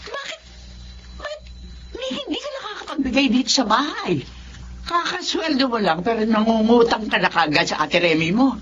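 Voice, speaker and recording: A woman's voice: a little high, big pitch swings, normal speed, background noise. An actress playing a stern, upright, long-suffering middle-aged mother in a Filipino radio drama, scolding and emphatic. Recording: muffled lo-fi audio with music behind.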